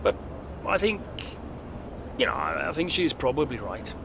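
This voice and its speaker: An actor playing a middle-aged, focused veteran racing driver near retirement, speaking in a measured, dry way in a video game. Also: male voice, medium pitch, wildly swooping pitch, creaky, background noise. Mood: reflective. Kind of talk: cinematic game dialogue, interview answers.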